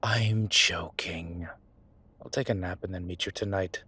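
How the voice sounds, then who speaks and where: A man playing a low-key investigator in a roleplay audio drama, speaking quietly and laconically with dry teasing. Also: steady tone, a little slow, breathy edge, full character voice.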